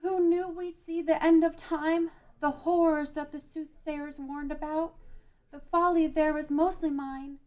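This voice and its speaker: Woman as very high-voiced brave peasant girl. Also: little pitch movement, measured pace, mellow. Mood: earnest pleading. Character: brave, earnest young peasant girl pleading for peace. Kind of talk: amateur table read of a fantasy script.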